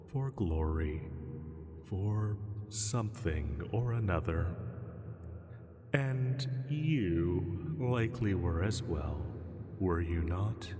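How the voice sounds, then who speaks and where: Man voicing a deep, slow, cavernous dragon. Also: darkish tone. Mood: smug, softening. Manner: slow, deliberate, taunting, mock-pitying. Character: ancient menacing male dragon, smug, teasing, predatory. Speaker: audio roleplay performer. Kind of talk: slow, intimate scripted roleplay monologue. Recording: cavernous long reverb.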